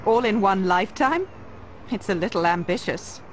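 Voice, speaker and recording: An actress playing a wry, independent young former Templar in a video game, with a measured delivery. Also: female voice, medium pitch, animated, a little hiss or hum, close to an everyday voice, poor audio. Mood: wistful. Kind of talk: scripted video game cutscene acting.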